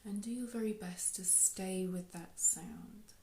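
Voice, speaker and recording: A woman leading a guided meditation in a soft, unhurried, soothing way, with phrases trailing off into breath. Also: mid-range voice, gravelly. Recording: roomy, echoey sound.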